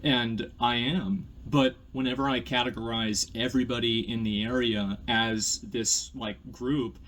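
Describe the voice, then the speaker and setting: A man heard in a played clip, talking haltingly. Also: medium pitch, fairly even intonation, fairly bright tone, a little hiss or hum, poor audio.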